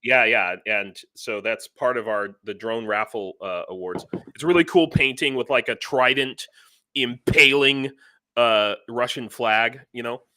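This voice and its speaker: A man with a bright, forward voice hosts a casual livestream. The sound is close and dry, and he talks in a loose, hesitant way, circling back and repeating phrases as he goes. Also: medium pitch, unhurried, dry recording. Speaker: livestream host, interviewer.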